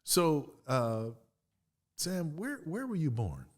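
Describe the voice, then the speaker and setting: A man's voice: fairly high pitch, wildly swooping pitch, slow pace. A man hosting an interview podcast, asking questions and giving short encouraging replies. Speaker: podcast host. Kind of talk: casual interview.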